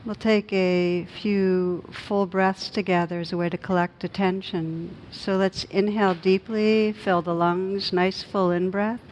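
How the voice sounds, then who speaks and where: A woman meditation teacher leading a guided meditation in a gentle, soothing, unhurried manner with long pauses. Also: steady tone. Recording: noisy recording with low rumble.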